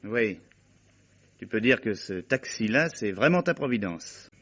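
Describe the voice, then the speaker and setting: A man's voice: fairly low pitch, big pitch swings, a little slow, fairly bright tone, heavily acted. An actor playing a shrewd, sardonic man in a radio mystery, speaking with biting, assured irony. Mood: sardonic, accusing. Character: shrewd, sardonic male friend of the accused. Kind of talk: French radio mystery drama acting. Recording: dull, muffled old radio recording.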